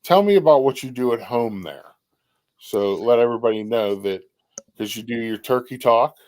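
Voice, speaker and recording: A man's voice: medium pitch, lively intonation, moderate pace, darkish tone. A man hosting a live stream, chatty, welcoming viewers by name and sometimes tripping over his words. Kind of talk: casual live-stream chat.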